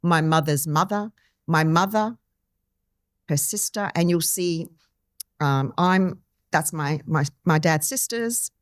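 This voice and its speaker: Podcast guest: a woman in her fifties from Timor-Leste, low voice, earnest and personal, close dry sound. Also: slow pace, pauses often, dry recording. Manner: earnest, personal, with many restarts and ums. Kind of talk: conversational interview storytelling.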